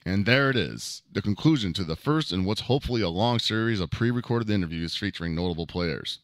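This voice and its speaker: A man hosting an interview show, speaking calm and measured as he thanks his guest and closes the show. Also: lowish voice, dry recording, studio quality. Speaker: host.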